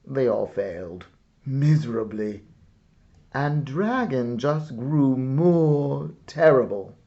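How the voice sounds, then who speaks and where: Male storybook reader, theatrical and very lively, unhurried with dramatic pauses, slightly dull recording. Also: medium pitch. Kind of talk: animated read-aloud of a children's picture book.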